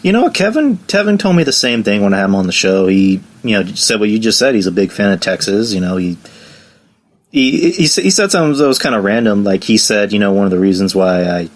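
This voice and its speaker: A man with a lowish voice hosts a podcast in an easy, unhurried way. He trails off, tacks on fillers and restarts, and keeps things casual. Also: very animated. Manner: laid-back, halting with fillers. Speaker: podcast host. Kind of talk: casual chat.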